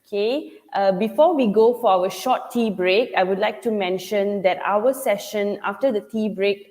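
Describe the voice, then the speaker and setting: A woman moderating a conference Q&A session in a brisk, agreeable way. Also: medium pitch, a little mellow. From Malaysia. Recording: long reverb.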